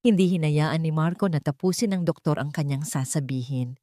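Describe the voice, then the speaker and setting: A woman's voice: deep voice, moderate pace, warm tone, dry recording, clean studio sound. A woman narrating a scripted dramatic audio story.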